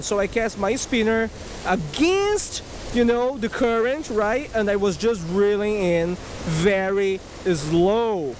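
A man giving a casual fishing tutorial to camera outdoors, animated and often checking in with short tag questions. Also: sits high. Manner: animated, repeatedly checks in with "right?" and "okay?". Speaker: fishing video host. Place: outdoors beside a rushing creek. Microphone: body-worn action camera. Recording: outdoor noise of rushing water. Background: rushing water.

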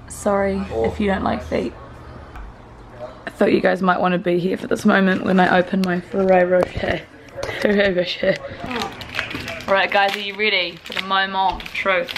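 A young New woman talks straight to a camera held at arm's length, her voice a little raspy. She chats loosely, stringing thoughts together with plenty of "like" fillers, in her home and in her car, with a faint hiss behind her. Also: medium pitch. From New Zealand. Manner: chatty, filler-heavy with frequent "like". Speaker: vlogger. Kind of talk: casual vlog talk to camera. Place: apartment living room and parked car.

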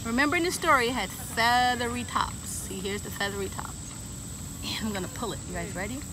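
A woman's voice: fairly high pitch, fairly quick. Woman reading to kids outdoors, insects buzzing. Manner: lively, talks straight to kids with questions. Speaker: garden educator reading to children. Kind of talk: children's story time read-aloud and garden tour. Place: outdoor garden, seated on a bench then walking among plants. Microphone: camera a few feet away, no visible mic. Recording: outdoor camera audio with steady insect noise.

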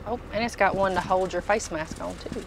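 A woman's voice: mid-range voice, a little fast, background noise. A woman, casually showing products as a tool dealer inside a packed truck. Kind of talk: casual product walk-through chat.

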